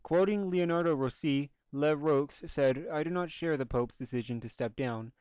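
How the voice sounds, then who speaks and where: A man's voice: on the high side, moderate pace, darkish tone, clear, resonant tone, dry recording. A man reading a scripted news report as narrator.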